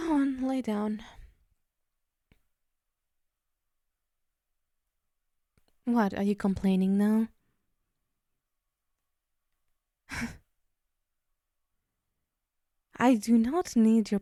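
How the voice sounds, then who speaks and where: Powerful female demon, played by a woman, ASMR: unhurried, dark-toned, teasing, possessive, condescending. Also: medium pitch, no room sound, clean studio sound, close to an everyday voice. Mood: possessive, coaxing. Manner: slow, teasing, condescending, murmuring into whispers. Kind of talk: ASMR roleplay.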